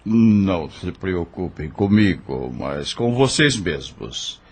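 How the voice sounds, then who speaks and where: A man playing a deep-voiced protective helper. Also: slow pace, husky, heavily acted. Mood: cautious. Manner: steady, practical, cautioning. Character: protective male helper hiding fugitives. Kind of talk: audio drama acting.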